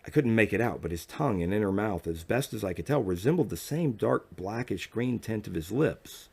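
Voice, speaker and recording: Male audiobook narrator, deep register, measured dramatic delivery for first-person fiction. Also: expressive, vocal fry, darkish tone, husky.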